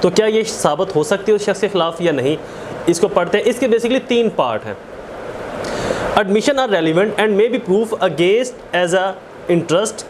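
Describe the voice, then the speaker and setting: A man's voice: sits high, lively intonation, a little slow, background noise. A man with a Pakistani accent lecturing didactically, explaining with examples and repeating phrases for emphasis. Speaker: law lecturer. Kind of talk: explanatory lecture mixing read-out statute with examples.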